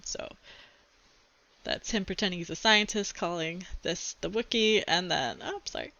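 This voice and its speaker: A woman reading a children's book aloud to a class like a teacher, expressive and adding informal asides. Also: on the low side, normal speed, gravelly, muffled recording.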